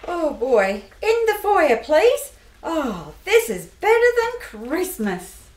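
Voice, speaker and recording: A woman voicing an excitable, gushing woman in an amateur radio play. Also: high voice, slow pace, reverberant, voice pushed up, full character voice. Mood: delighted. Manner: gushing, squealing delight. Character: excitable elderly-home sister and wife Molly, woman.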